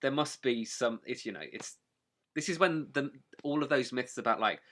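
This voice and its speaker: A man chatting casually as a podcast co-host, explaining things in a wry, animated way. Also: medium pitch, normal speed. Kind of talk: casual chat.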